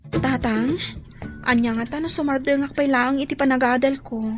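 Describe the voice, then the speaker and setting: A woman's voice: high voice, steady tone, moderate pace, loud music behind the voice, lightly acted. A woman acting an earnest, plaintive young student daughter, worried and self-sacrificing, in a radio drama. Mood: earnest pleading. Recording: faint background noise.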